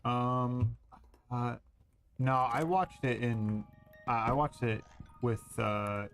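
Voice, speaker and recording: A man's voice: medium pitch, steady tone, slow pace, soft background music. A man streaming a game, chatting casually and thinking aloud with hesitant fillers. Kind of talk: casual gaming commentary.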